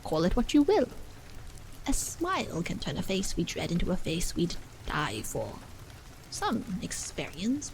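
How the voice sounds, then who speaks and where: A woman's voice: medium pitch, vocal fry, a little hiss or hum, poor audio. A woman voicing an earnest, cordial clergyman of seventy-one, persuading patiently in formal, emphatic, old-fashioned phrases for a story. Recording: steady rain sounds behind the voice.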